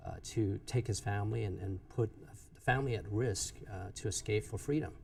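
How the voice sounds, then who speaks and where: Gravelly, mellow-voiced man from Vietnam. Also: on the low side, distant mic. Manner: hesitant, many "uh" pauses. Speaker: bank manager, guest. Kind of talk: interview answers.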